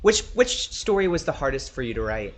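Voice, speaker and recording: A man's voice: medium pitch, sing-song, fairly quick. Casual man hosting, some background noise. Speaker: interviewer, show host.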